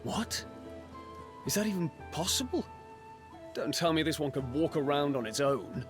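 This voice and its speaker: An actor playing a brash young warrior companion in a video game, speaking informally and urgently. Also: male voice, on the high side, expressive, moderate pace, over music, natural voice. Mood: incredulous. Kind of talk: video game cutscene acting.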